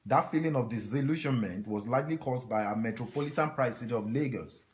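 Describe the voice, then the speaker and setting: Man reading aloud in a steady voice. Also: mid-range voice, fast. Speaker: reader of a book passage. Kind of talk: reading text aloud in a group discussion.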